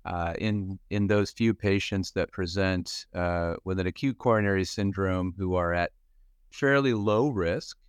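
A man in his fifties from Western Pennsylvania, a cardiologist explaining things in a measured way with many fillers and restarts. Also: sits low, smooth, no echo, studio quality. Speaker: cardiologist guest expert. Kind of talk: podcast medical discussion.

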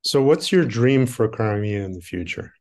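A man hosting an interview, asking questions in a measured way and restating his guest's answers to make them clear. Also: lowish voice, lively intonation, darkish tone. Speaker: interviewer host. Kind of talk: conversational interview.

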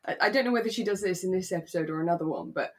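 A woman co-hosting a podcast in a relaxed, conversational way, thinking aloud and seeking agreement. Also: fairly low pitch, rapid-fire. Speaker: podcast co-host. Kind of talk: relaxed chat discussing a radio drama.

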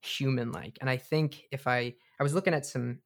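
A man playing an excitable, foul-mouthed bunker leader and tinkerer, co-hosting a comedic radio drama in a casual, hesitant way. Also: medium pitch, moderate pace, close to an everyday voice. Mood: informal, upbeat. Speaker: co-host.

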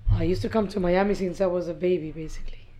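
Mellow woman from Ecuador. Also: lowish voice, fairly even intonation, rapid-fire. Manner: brief, matter-of-fact replies. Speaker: restaurant part owner, guest. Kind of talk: casual interview answers. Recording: dull lo-fi audio.

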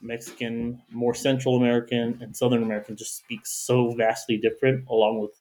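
A man in a casual group chat at a dining table, speaking emphatically and quick to correct the others. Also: mid-range voice, little pitch movement, darkish tone, slight background noise, rough recording. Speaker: guest. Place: home dining room.

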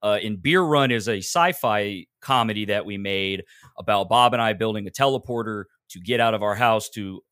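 An American man with a lowish voice talks casually as a podcast guest. He rambles a bit and pokes fun at himself. The sound is dry and close. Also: normal speed, a bit bright, smooth, dry recording. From Texas, United States. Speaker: podcaster and audio drama maker, guest. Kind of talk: casual interview chat.

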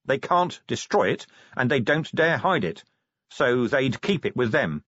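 A man reading an audiobook as a gruff, suspicious city watch commander with a blunt, insistent manner. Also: mid-range voice, very animated, unhurried, fairly bright tone, dry recording, highs cut off, heavily acted.

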